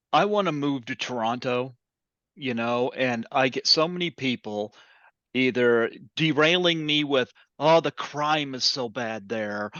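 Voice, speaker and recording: A man hosting an interview podcast, talking in an earnest, halting way with sighs between his thoughts. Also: mid-range voice, a bit bright, no echo, dull audio. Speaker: podcast host. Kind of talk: casual interview chat.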